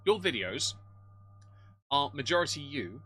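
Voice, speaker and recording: Man with a very bright, cutting voice. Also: on the high side, sing-song, unhurried, breathy edge. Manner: blunt, scornful, crude humour. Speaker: live stream commentary co-host. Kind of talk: sarcastic reaction commentary.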